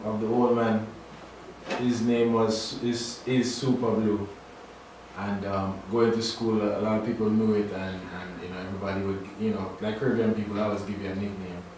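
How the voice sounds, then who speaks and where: Young man, low voice, very echoey room. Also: flat delivery. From Trinidad. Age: young adult. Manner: laid-back, halting, many fillers. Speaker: guest artist. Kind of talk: casual radio interview. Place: radio studio. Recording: very echoey room, distant mic, faint noise.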